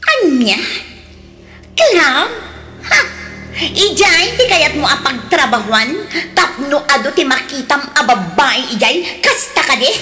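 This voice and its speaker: A woman with an Ilocano accent playing a jealous, domineering, sharp-tongued rich wife in a radio drama, scornful and full of accusing questions. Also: sits high, big pitch swings, slow pace, faint music behind, exaggerated voice. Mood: indignant. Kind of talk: Filipino radio drama acting.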